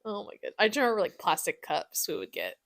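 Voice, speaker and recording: A young adult woman hosting a podcast in a casual chat, giggly and animated as she tells stories with slangy exclamations. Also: on the low side, fast talker, no room sound, studio quality. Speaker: podcast host. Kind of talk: casual chat, reminiscing with a friend.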